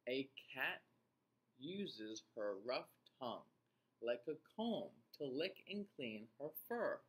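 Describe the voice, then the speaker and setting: Male teacher, middle-aged, children's read-aloud. Very unhurried delivery, animated pitch swings, questions to the listeners. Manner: deliberate, expressive, pausing to question listeners. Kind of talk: picture-book read-aloud for young children.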